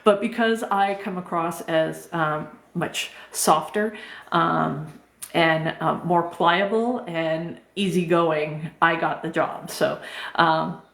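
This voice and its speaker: Soft-spoken woman coach in a roomy space. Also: low voice, fairly even intonation, slow, gravelly. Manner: gentle, informal, many fillers, occasional swearing. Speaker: life coach. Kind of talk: live-streamed talk answering chat questions. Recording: roomy echo.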